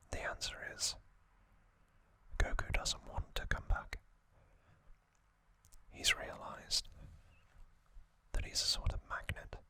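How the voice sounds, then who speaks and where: Male ASMR host: breathy whisper throughout, unhurried short phrases, very sharp and bright sound. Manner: slow, deliberate, short phrases with pauses. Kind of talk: ASMR whispered trivia recap.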